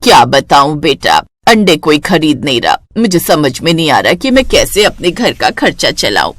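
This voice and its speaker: A woman's voice: low-pitched, sing-song, normal speed, a bit bright, voice pushed down, exaggerated voice. A woman voicing a caring older neighbour aunt in a cartoon story, motherly and fretful. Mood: worried.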